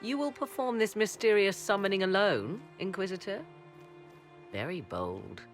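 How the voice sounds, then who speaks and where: A woman's voice: mid-range voice, expressive, normal speed, faint music behind, lightly acted. A woman playing a proud, enigmatic sorceress in a fantasy video game, speaking with arch, sardonic formality.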